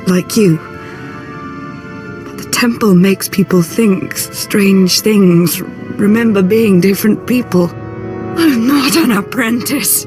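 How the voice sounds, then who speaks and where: An actress playing a tormented young Sith woman in a video game, intense, halting and struggling for control of her mind. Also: medium pitch, close to an everyday voice. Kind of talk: dramatic video game voice acting. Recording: music behind the voice.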